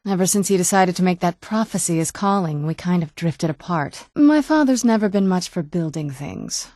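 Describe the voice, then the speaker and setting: A woman's voice: medium pitch, a little mellow, no room sound, lightly acted. An actress playing a young-looking, guarded female vampire in a video game, speaking in a dry, earnest, conversational way. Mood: explanatory, reflective. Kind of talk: video game character dialogue.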